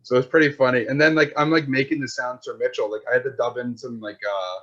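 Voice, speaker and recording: Young guy, 20s, loose slangy chatter peppered with filler, mid-pitched, slightly muffled lo-fi mic. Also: fairly even intonation, fast, a bit bright. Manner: rambling, slangy, full of "like" and "dude". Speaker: podcast guest, filmmaker. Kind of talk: casual chat. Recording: dull lo-fi sound.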